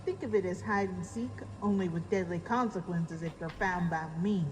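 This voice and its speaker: A woman's voice: fairly low pitch, moderate pace, a little hiss or hum, lightly acted. A woman voicing a sinister undead farm mare in a horror audio story, with a Southern American accent and taunting, folksy menace. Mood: mocking menace.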